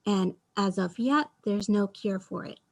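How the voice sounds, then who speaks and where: A woman's voice: mid-range voice, a little slow. A woman from Bangladesh, a panelist who explains her story in a matter-of-fact way. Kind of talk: online panel talk, personal story.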